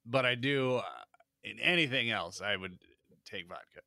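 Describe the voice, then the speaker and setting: A man's voice: medium pitch, normal speed, gravelly, no room sound, clean studio sound. A man co-hosting a casual podcast, laughing often and joking with his friend. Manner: laughs often, animated, bright. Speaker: podcast co-host. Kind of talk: casual chat.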